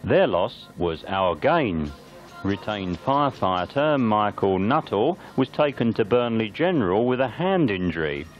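Bloke narrating a local newsreel, really up and down intonation, bit muffled old tape sound, music under it. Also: male voice, fairly low pitch, very animated, measured pace. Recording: band-limited, dull lo-fi videotape sound.

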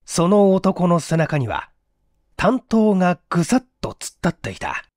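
Male audiobook reader for detective fiction. Theatrical, fairly high voice, lively intonation. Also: unhurried, no room sound, clean studio sound. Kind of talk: dramatic scripted narration of a mystery story.

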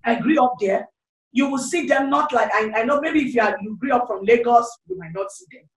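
A woman's voice: a little high, a little fast, distant mic. Fervent Nigerian woman preacher, echoey room. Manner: fervent, repetitive preaching with frequent exclamations; gestures freely while speaking into a handheld mic. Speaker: evangelist. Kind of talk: online sermon. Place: small indoor room, seated. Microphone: handheld corded microphone.

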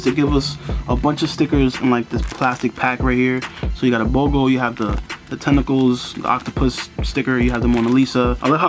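A young man in a casual unboxing vlog, talking in a relaxed, chatty way with lots of fillers, seated in a small room in front of the camera. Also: medium pitch. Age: young adult. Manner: laid-back, chatty, lots of "you know" fillers. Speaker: sneaker and streetwear vlogger. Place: bedroom with sneaker boxes, indoors.

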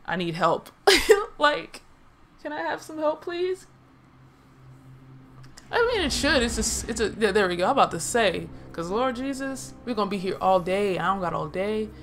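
A woman's voice: medium pitch, expressive, moderate pace, fairly bright tone, slight background noise. A woman casually commenting on her own gameplay, chatty and laughing, swearing, and talking to herself. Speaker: let's play gamer.

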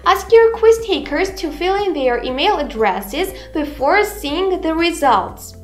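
A woman in her twenties giving a scripted, instructional software tutorial in a deliberate manner. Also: very high voice, expressive. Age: 20s. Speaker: tutorial presenter. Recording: roomy echo, music underneath. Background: background music.

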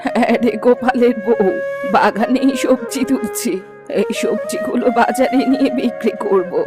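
A woman's voice: a little high, moderate pace, lightly acted. A woman voicing a chatty old village granny in a cartoon. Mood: chatty, kindly. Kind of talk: cartoon dialogue. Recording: background music and crowd bustle.

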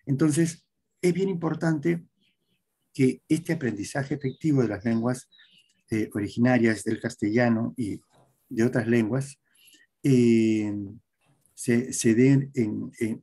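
A middle-aged man, giving an academic talk over a video call, pausing often with fillers and tag questions. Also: mid-range voice, vocal fry, dark tone, breathy edge. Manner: lecturing, with frequent hesitations and tag questions. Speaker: conference speaker. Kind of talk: academic presentation. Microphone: webcam on a video call.

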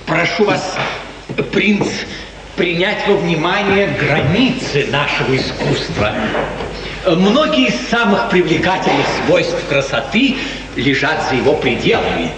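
An actor playing an older, deferential yet proud court painter, courteous and declamatory in a 1950s radio play. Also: male voice, fairly high pitch, very animated, a little slow. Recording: echoey, distant old radio recording, crowd-like noise.

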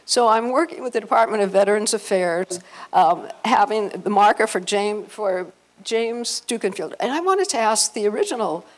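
An older woman presenting an informal family-history slide talk, halting and often restarting her phrases. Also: medium pitch, lively intonation, poor audio. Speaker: presenter, speaker at a convention. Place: hotel conference room, before a seated audience.

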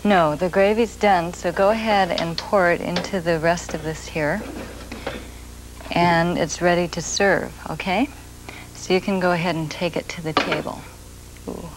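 Soft-spoken woman hosting a cooking show. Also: on the low side, steady tone. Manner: slow, gentle and soft-spoken. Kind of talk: TV cooking demonstration. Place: TV studio kitchen set. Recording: grainy 1980s videotape with noise.

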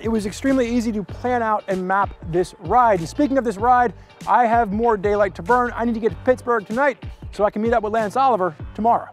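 Male presenter, thirties, high voice, conversational vehicle-review delivery, light music behind. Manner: conversational, explanatory. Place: outdoors beside a motorcycle.

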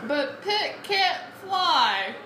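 A woman's voice: very high-pitched, big pitch swings, extremely slow. A woman chatting casually as she plays a video game. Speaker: let's play gamer. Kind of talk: casual chat while playing a video game. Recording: roomy sound, game music behind. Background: video game music.

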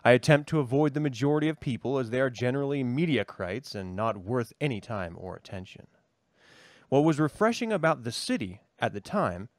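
A man reading a personal essay aloud as a co-host, in an expressive read. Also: medium pitch, no echo.

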